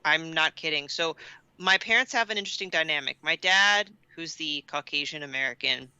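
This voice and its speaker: A woman podcast co-host with a very bright, forceful voice and smooth, resonant tone. She talks candidly and casually, leaning on words for emphasis. The sound is dry and close. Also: low-pitched, brisk, dry recording, muffled recording. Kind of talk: casual personal chat.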